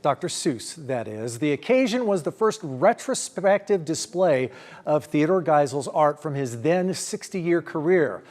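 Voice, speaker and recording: Lively middle-aged American male news anchor. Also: a little high, a little slow. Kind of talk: scripted news presenting. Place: TV studio.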